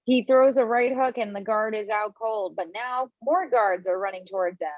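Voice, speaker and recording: A woman's voice: fairly high pitch, moderate pace, dry recording. A woman reading the stage directions at a video-call table read, now and then laughing at her own slips. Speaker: script reader. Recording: dull lo-fi home mic.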